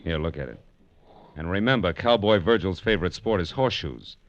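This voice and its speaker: An actor playing a wisecracking, sardonic American private detective in an old-time radio drama. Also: male voice, sits low, expressive, brisk, slight background noise. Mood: cool, probing. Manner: dry, sardonic, slangy. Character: wisecracking, hard-boiled male private detective. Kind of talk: 1940s radio detective drama acting with first-person narration. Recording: dull, lo-fi vintage radio recording.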